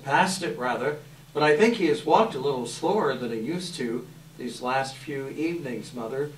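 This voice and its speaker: A man playing an earnest, gentle grieving son of a poor family in a readers theater performance. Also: mid-range voice, normal speed, slight background noise, close to an everyday voice. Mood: gentle, wistful. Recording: echoey hall, far from mic.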